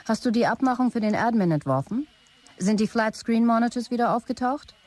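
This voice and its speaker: A woman's voice: on the high side, brisk, a little mellow, close to an everyday voice. An actress playing a controlling, lovesick female tech-company executive in an audio drama, as if reading out her e-mails. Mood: brisk, businesslike. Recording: music behind the voice. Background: music.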